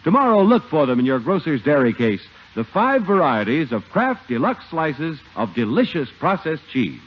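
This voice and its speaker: A man's voice: fairly high pitch, sing-song, moderate pace. An American man working as a 1950s radio announcer, reading scripted sponsor copy and credits. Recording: muffled 1950 radio recording.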